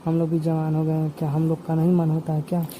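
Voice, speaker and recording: A man's voice: fairly high pitch, completely flat delivery, fast talker, dark tone. A man, talking informally on the phone in an insistent, repetitive way. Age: 20s. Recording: noisy phone call recording.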